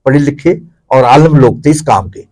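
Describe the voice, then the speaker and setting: A man's voice: medium pitch, expressive, dark tone, rough recording. Mature Pakistani man explaining at length. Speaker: interview guest, theatre commentator. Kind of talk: reflective explanatory interview talk.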